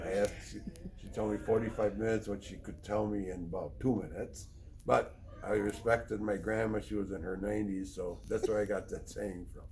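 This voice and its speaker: A middle-aged or older man from Poland, sharing personal testimony in a plain-spoken, halting, rambling way as a recovery speaker. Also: on the low side, gravelly, darkish tone, rough recording. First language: Polish. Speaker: recovery speaker, treatment counselor.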